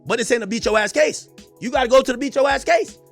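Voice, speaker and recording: High-voiced guy ranting on stream, cussing, mocking and laughing, music low in back. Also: fast talker. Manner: loud, profane, mocking, laughing often. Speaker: streamer and commentator. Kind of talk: live reaction rant.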